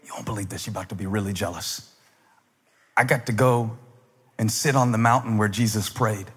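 A man in his forties preaching a sermon on a church stage into a handheld mic, telling a story and then building to loud, fervent emphasis. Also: lowish voice, brisk, slightly rough. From United States. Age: 40s. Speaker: pastor. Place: large stage in a church hall. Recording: long hall reverb.

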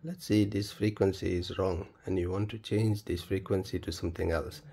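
A man presenting a step-by-step tutorial in a plain, halting, instructional manner. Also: low-pitched, fairly even intonation, gravelly, warm tone, breathy edge. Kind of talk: step-by-step spoken tutorial, unscripted.

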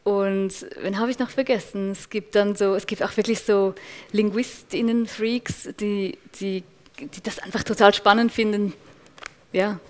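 Woman, thirties, Swiss accent, halting, searching for words, panel guest. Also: medium pitch, fairly even intonation. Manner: thoughtful, many restarts and hesitations. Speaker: panel guest, culture officer. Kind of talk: panel discussion answers. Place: event room with large windows.

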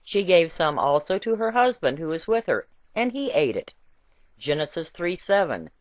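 Woman reader, low-leaning voice, deliberate wry emphasis, dry slightly muffled recording. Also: sing-song, no room sound. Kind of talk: expressive scripted reading of an essay with scripture quotations.

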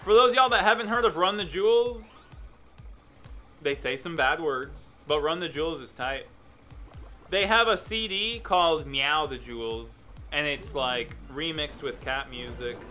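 Young man streaming a game, bright voice. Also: on the high side, measured pace. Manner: relaxed, chatty, reads chat aloud. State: phlegmy throat. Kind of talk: casual gameplay commentary. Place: indoor room at a desk. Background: music playing.

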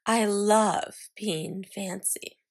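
A woman voicing an excitable little girl who adores fancy things, in a dramatic storybook reading. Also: medium pitch, drawn-out words. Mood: proud, eager. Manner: dramatic, exclaiming, storybook delivery.

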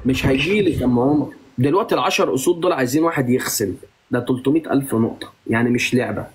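A man's voice: medium pitch, very fast, background noise. A man with an Egyptian Arabic accent hosting a live stream, teasing and bantering with a guest in a playful, mock-stern way.

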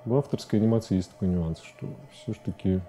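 Very mellow, halting middle-aged man. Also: lowish voice. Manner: halting, hesitant phrasing with repeated words. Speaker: interview guest, animation director. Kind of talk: conversational studio interview. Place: TV studio at a desk. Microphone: lapel mic.

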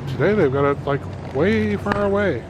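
A man's voice: high-pitched, animated, normal speed. A middle-aged man, chatting casually as a vlogger on a handheld camera. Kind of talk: casual shopping vlog chat. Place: large indoor warehouse store. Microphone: handheld camera microphone. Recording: loud warehouse store ambient noise. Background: loud store ambience.

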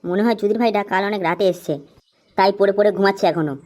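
A woman's voice: medium pitch, quick pace, darkish tone, no echo, natural voice. A woman voicing a cocky, foul-mouthed young village tough in an amateur comedy cartoon, brash and bossy.

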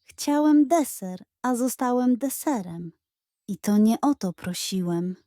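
A woman's voice: a little high, lively intonation, slow, dark tone, no echo, clean studio sound. A woman voicing a cheeky, scheming, whiny pug dog in a children's audio story. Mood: dejected.

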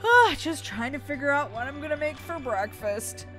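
An easygoing dad acted by a woman in a game roleplay, casual and offhand. The voice is high and lilting, with faint music behind it. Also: sing-song, moderate pace.

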